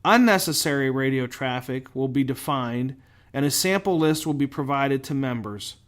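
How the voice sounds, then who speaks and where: A man delivering scripted instructional narration as a show host, speaking deliberately with clear enunciation and emphatic phrasing. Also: medium pitch, animated. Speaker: host of a firefighter safety show.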